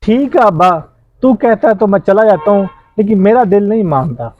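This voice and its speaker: A man's voice: high-pitched, lively intonation. A man acting as a doubting, self-serving grown son in a moral drama. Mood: sceptical, reluctant. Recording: dull lo-fi sound, faint music.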